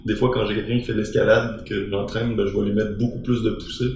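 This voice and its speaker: A man's voice: on the low side, little pitch movement, quick pace, darkish tone, a little hiss or hum. A young man from Belgium, a calisthenics trainer being interviewed, explaining at length with many fillers and restarts. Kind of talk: casual interview talk. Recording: very echoey room.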